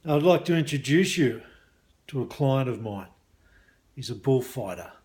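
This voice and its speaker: A man's voice: medium pitch, expressive, moderate pace, darkish tone. An Australian man, a mindset coach, talking casually to viewers in a matey, colloquial way. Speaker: business mindset coach and hypnosis practitioner. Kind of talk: casual chatty video talk to viewers.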